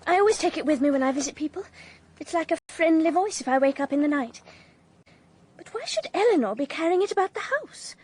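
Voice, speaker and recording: High-voiced young English society girl. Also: brisk. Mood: nervous, confiding. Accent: upper-class English. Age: young adult. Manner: chatty, confiding, excitable. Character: nervy, girlish young 1920s English society woman. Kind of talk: period radio drama acting.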